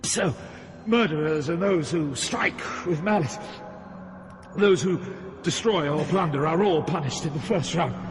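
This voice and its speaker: A man's voice: on the high side, measured pace, natural voice. An actor playing a stern ghostly poet guide through Hell in a dramatic audio drama, grave and declamatory as he instructs. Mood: solemn, lecturing. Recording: long cavernous reverb, music underneath.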